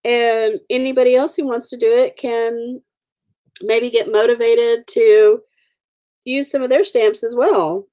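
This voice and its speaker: A woman's voice: a little high, fairly even intonation, unhurried, warm tone. Chatty sniffly woman crafting live. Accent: Oklahoma. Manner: chatty, greets viewers by name. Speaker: livestream host. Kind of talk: casual livestream chat while coloring.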